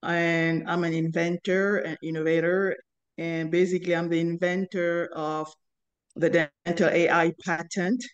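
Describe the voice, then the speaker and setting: A woman in her fifties from Cameroon, telling her life story as an interview guest in an earnest, halting way with many pauses. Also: on the low side, level tone, lo-fi sound. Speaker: guest, inventor and company founder.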